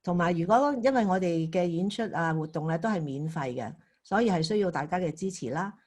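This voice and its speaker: A woman who is an opera company director, giving a careful, measured introduction with hesitations. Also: lowish voice, animated, a little mellow. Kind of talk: formal introduction.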